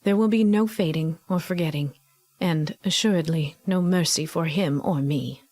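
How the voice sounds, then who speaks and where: A woman's voice: low voice, moderate pace, darkish tone. A woman playing a defiant, haughty niece of Death, formal and firm, in a fantasy audio drama. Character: defiant, merciless young Vengeance, niece of Death.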